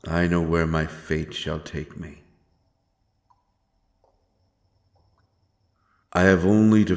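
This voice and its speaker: A man playing a grim, doomed, godless avenger in a dark fantasy audio drama, with a brooding, solemn delivery and weighty pauses. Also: very deep voice. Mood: bitter, resigned.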